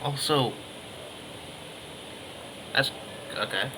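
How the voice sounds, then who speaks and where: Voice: medium pitch, drawn-out words, noisy background. A gaming creator of unclear sex giving casual reactions over gameplay, with wry, muttered asides. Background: game music.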